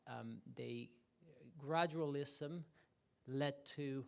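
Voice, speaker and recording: Gravelly-voiced man, hesitant panelist. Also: mid-range voice. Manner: hesitant, many restarts, measured. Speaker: panelist, economist. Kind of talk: panel discussion answer.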